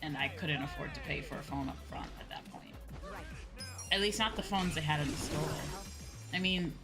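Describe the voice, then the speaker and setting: Girl game streamer rambling casually, lowish voice, pretty loud game music under it. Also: moderate pace. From outside Japan. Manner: informal, expressive, rambling, swears freely. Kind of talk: casual livestream chat over a video game.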